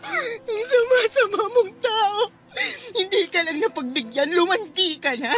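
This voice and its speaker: A woman's voice: extremely high pitch, measured pace, a bit bright. A woman playing a betrayed, furious live-in girlfriend with a Filipino accent, accusing tearfully in radio melodrama style. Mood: defiant rage. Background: prominent dramatic music.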